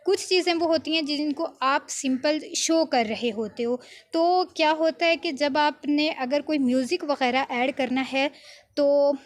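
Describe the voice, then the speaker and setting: A woman giving an informal software tutorial, explaining step by step with frequent check-in tags. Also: high-pitched, unhurried. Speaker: video editing instructor.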